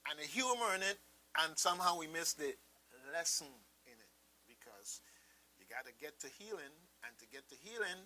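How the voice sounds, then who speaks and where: A man hosting a radio show with reflective commentary, halting and emphatic, often repeating his phrases for weight. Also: fairly high pitch, sharp tone, a little hiss or hum, low-quality recording.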